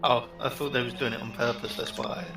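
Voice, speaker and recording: A man's voice: mid-range voice, steady tone, fast talker, over music. London-accented man on a distant, roomy mic. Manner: blunt and sweary, defends his own driving. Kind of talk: casual gaming chat.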